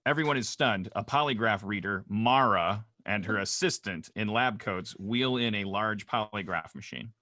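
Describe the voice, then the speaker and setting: A man's voice: lowish voice, normal speed, few pauses, pushed and bright, no echo, clean studio sound. A man narrating stage directions at a comedy table read over a video call, with a wry, joking manner.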